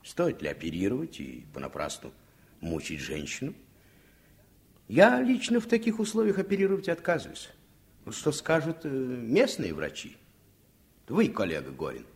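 A man's voice: mid-range voice, huge pitch swings, normal speed, vocal fry, pauses often. A man playing a sour, curt, pompous professor of medicine in a Soviet radio play. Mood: sour, dismissive. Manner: pompous, emphatic.

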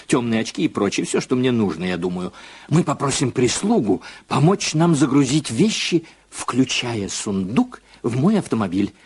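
Scheming, soothing husband, very expressive. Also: male voice, mid-range voice, husky. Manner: expressive, reassuring, persuasive. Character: composed, controlling new husband, soothing and scheming. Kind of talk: radio play acting.

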